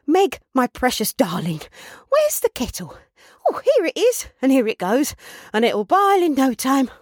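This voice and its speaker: Storybook role: kindly old porter dad played by a woman, excitable, breathless bustle, very animated pitch, dry close sound. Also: unhurried, no echo, heavily acted. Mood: giddy, frantic. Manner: trotting, breathless, repetitive bustling speech. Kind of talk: storybook character voice.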